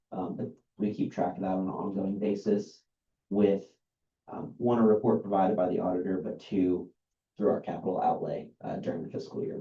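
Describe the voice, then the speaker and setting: A man, an outside accountant, reading a list of services aloud at a meeting in a dull, monotone way, with many ums and uhs. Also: sits low. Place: meeting room. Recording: roomy, dull lo-fi meeting recording.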